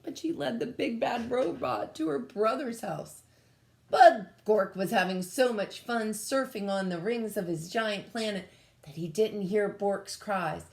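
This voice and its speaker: A woman reading a storybook aloud to children in a dramatic, emphatic, expressive way. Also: mid-range voice, unhurried, creaky. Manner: dramatic, emphatic, engages listeners with questions. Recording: echoey room.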